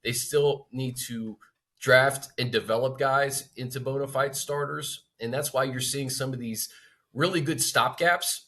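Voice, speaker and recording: An American man co-hosting a sports show, talking conversationally and earnestly, a little halting as he builds his points. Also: medium pitch, steady tone, a bit bright. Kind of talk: conversational sports talk analysis.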